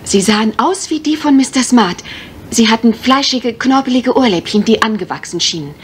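An actress playing an anxious, genteel young guesthouse owner in a German radio crime drama. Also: female voice, a little high, expressive, moderate pace, slight background noise, natural voice. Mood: uneasy, insistent.

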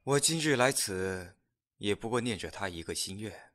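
An actor playing a solemn, sorrowful man in a fantasy audio drama, speaking in a grave, measured way. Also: lowish voice, animated, a bit bright. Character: solemn, sorrowful man bearing tragic news.

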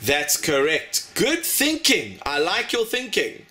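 A man with a South African accent who teaches a class over a video call and warmly praises the students' answers. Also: high-pitched, wildly swooping pitch, slow pace. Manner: encouraging, praising. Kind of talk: classroom chat.

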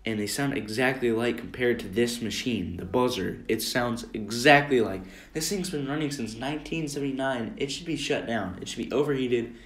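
A young American man giving rambling, informal commentary over a screen recording in an earnest way. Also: medium pitch. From Minnesota, United States. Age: teenager or young adult. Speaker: amateur video maker. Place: room at home. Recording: roomy, echoey home recording.

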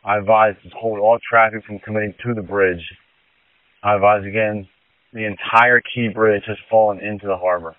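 A male fire rescue responder giving deliberate, emphatic reports over an emergency two-way radio. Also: on the low side, fairly even intonation, no room sound. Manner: deliberate, repeats key warnings. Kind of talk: emergency radio dispatch traffic. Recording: narrow tinny two-way radio transmission.

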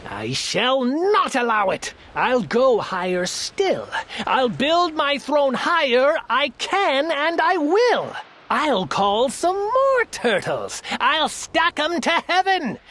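A woman's voice: sits high, fairly bright tone, heavily acted. A woman voicing a pompous, boastful, tyrannical turtle king in a storybook, declaiming grandly in a bellowing manner. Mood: indignant, determined. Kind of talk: storybook character voice in a read-aloud.

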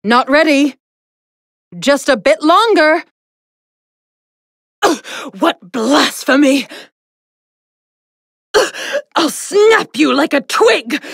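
A vain young goddess from a video game, high-pitched and very slow, savoring her condescension. Her pompous, theatrical delivery swings widely in pitch, imperious yet playful. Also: expressive, vocal fry, fairly bright tone, no echo, clean studio sound, exaggerated voice. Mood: outraged. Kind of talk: video game character voice lines.

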